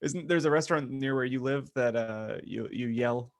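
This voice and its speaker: Male podcast co-host, average pitch, informal joking chatter. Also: normal speed. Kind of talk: casual joking chat.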